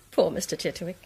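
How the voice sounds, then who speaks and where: A woman's voice: darkish tone, lightly acted. An actress playing a poised, condescending English society woman in a radio drama, polite and superior, with an English accent.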